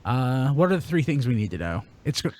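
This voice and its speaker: A man hosting a casual panel podcast, reading out news items in a halting way and trailing off mid-sentence. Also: mid-range voice, big pitch swings, a little mellow.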